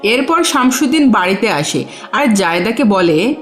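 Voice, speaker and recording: A woman's voice: mid-range voice, sing-song, normal speed, faint music behind. A woman narrating a scripted cartoon story as its storyteller.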